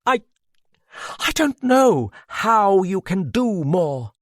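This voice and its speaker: A woman's voice: on the low side, expressive, slow pace, gravelly, breathy edge, no room sound, clean studio sound, voice pushed up, exaggerated voice. A man voicing a proud, desperate woman who cries out with passion, bitter and near tears, in a read-aloud story. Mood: fierce.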